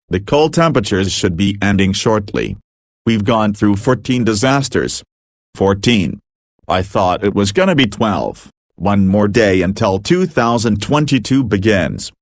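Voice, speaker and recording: A man's voice: fairly low pitch, no room sound, clean studio sound, lightly acted. A man playing a frazzled emergency broadcast announcer in an amateur doomsday drama, mixing formal bulletins with slangy exclamations. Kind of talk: amateur scripted emergency broadcast narration with informal outbursts.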